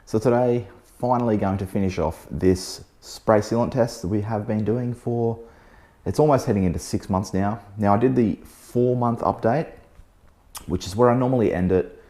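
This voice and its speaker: A man hosting a car detailing vlog, talking casually about a product test while losing his voice, a little hoarse, with plenty of "um" and "so yeah". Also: fairly low pitch, measured pace. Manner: relaxed, chatty, frequent "um" and "so yeah". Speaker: car detailing channel host. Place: outdoors beside a test panel.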